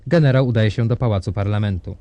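An actor playing a formal, measured newsreader and political interviewer in a scripted drama. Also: male voice, on the low side, dark tone, slight background noise, lightly acted. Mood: urgent reporting. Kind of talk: scripted broadcast announcing in a drama.

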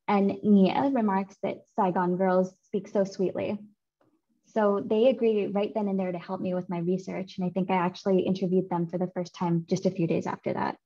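A woman in her thirties presents her research in a clear, measured academic manner. Her voice is mellow and mid-pitched. The video call audio sounds slightly muffled. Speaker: seminar speaker, author presenting research. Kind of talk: academic talk over slides. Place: home room.

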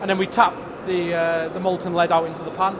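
A man showing a visitor around a factory, explaining the process in a matter-of-fact way with some halting restarts. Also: sits high, little pitch movement, a crowd in the background. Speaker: factory guide. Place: large factory floor. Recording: noisy factory floor.

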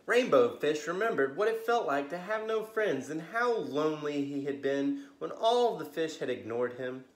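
An American man in his thirties hosting a children's story time, reading aloud expressively with gentle asides to young listeners. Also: a little high, expressive, moderate pace. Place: living room, on a couch indoors. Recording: roomy, echoey home recording.

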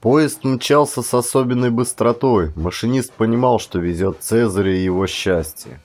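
A man reading a literary story as an actor, in measured, expressive dramatic audiobook narration. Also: mid-range voice.

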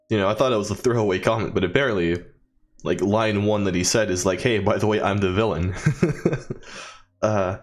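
A man giving casual, rambling let's play commentary as a gaming host, with a wry, joking manner. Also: low voice.

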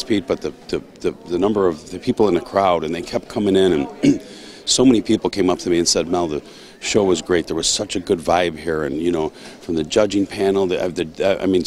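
Middle-aged guy, real deep voice, excited and rambly, onstage at a big show. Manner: excited, rambling, repeats words. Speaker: show promoter. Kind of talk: casual interview. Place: large indoor stage. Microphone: interviewer's handheld mic.

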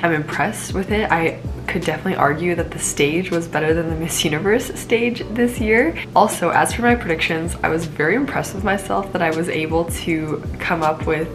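A woman's voice: on the low side, normal speed, hardly any pauses, over music. A young woman with a stuffed-up cold, reviewing a pageant in a bubbly, rambling, casual vlog to camera from a small room. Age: young adult. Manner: bubbly, frequent "like", rambling asides. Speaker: pageant vlogger. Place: small indoor room at home.

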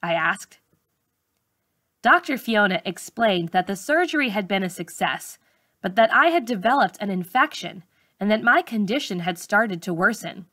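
Woman, book narration, bright crisp-edged tone, middle pitch, measured phrasing, dry sound. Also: expressive, dry recording. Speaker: teacher reading a book aloud. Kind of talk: audiobook-style narration of a memoir.

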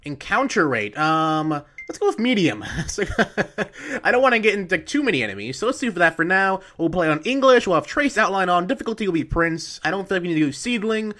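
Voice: mid-range voice, big pitch swings, brisk, fairly bright tone. A gaming host thinking aloud in casual, chatty let's play commentary.

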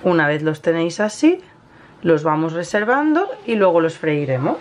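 A woman with an accent from Spain talks through a recipe in a chatty, informal way. Her voice sits on the low side. She is recorded in a home kitchen, with clear frying and stirring noise around her. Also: sing-song, normal speed. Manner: chatty, explanatory, informal with many diminutives. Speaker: cooking vlog host. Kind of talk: casual cooking tutorial.

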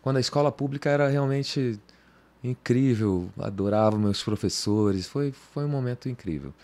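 A man's voice: medium pitch, moderate pace, warm tone. A man in his fifties from Brazil, a guest on a podcast recorded in a home music studio, talking in a loose, rambling way, restarting sentences and tagging them with "cara" and "entendeu?". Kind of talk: casual interview talk. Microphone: studio mic on boom arm.

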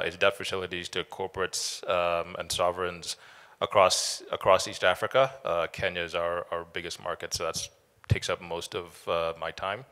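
Crisp, bright low-voiced man. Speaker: panelist. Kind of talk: panel introduction. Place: conference hall audience. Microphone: handheld mic. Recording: long hall reverb.

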